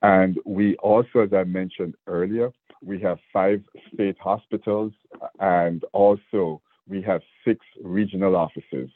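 A man in his sixties with a Jamaican accent, a state division director speaking earnestly and deliberately in a radio interview. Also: sits low, steady tone, no room sound. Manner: earnest, measured, with restarts. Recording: dry, phone-like radio line.

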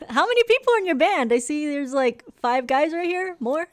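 A woman's voice: sits high, animated, normal speed. A woman hosting a radio interview in the studio, asking curious, friendly questions. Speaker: radio host.

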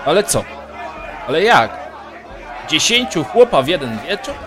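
A man's voice: a little high, sing-song, unhurried. Man acting an indignant, swooping mercenary commander. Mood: incredulous. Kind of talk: dramatised fantasy audiobook acting. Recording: music and crowd behind.

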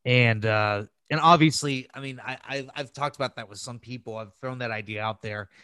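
A man's voice: medium pitch, normal speed, sharp tone, smooth, no room sound. A man with an American accent chatting casually as a podcast co-host, informal and freely swearing. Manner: informal, profane, conversational. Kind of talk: casual chat.

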